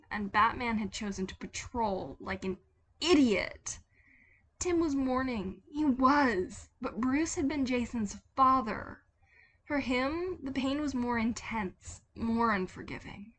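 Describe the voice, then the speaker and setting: A woman's voice: medium pitch, a bit bright, slight background noise, rough recording. A woman reading scripted fiction aloud as a dramatic narrator. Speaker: podfic narrator.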